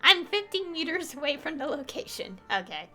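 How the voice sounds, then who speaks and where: A woman's voice: high voice, big pitch swings, moderate pace, pushed and bright. A woman streamer casually chatting and giggling through gameplay, with hesitant fillers. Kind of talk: casual gameplay commentary and reading dialogue. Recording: streamed with game music faintly behind.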